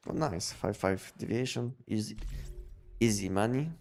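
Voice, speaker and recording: A man chatting casually on a game livestream, explaining things in a halting, repetitive way. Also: on the low side, a little mellow, faint music behind. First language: not English. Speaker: game live streamer.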